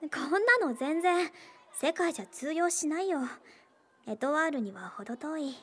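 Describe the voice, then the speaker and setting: Teenage game schoolgirl, high voice, soft, reflective, earnest, slightly hesitant. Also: lively intonation, a little slow. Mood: quietly troubled. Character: earnest, wistful teenage schoolgirl, former dancer. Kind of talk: Japanese video game voice acting.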